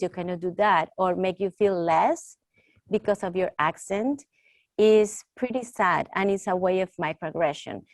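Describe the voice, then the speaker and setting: A woman's voice: medium pitch, dry recording. Woman host with a strong Spanish accent. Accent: Latin American Spanish. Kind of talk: talk show hosting.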